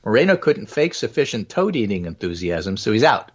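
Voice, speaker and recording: A man's voice: lowish voice, sing-song, a little fast. A man reading news items aloud and commenting sardonically as a talk show co-host. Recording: dull lo-fi line.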